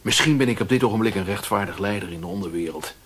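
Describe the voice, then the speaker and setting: An actor playing a fat, shady Chinese merchant in a radio play, mocking and philosophical, with a deliberate, taunting way of talking. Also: male voice, on the low side, a bit bright, close to an everyday voice. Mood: philosophical, teasing. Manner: sardonic, taunting, deliberate, given to aphorisms.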